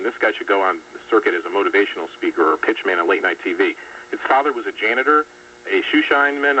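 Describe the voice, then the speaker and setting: Brief: male radio guest, lowish voice, rapid and forceful, polemical, distant muffled broadcast. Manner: fast, emphatic, polemical. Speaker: guest commentator. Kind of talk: political talk radio discussion. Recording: distant, muffled radio rebroadcast with faint hum.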